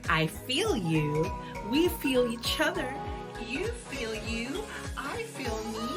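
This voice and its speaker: A woman's voice: fairly high pitch, big pitch swings, takes it slowly. A woman delivering a radio show intro as a chanted tagline and announcement. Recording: loud music and crowd noise behind.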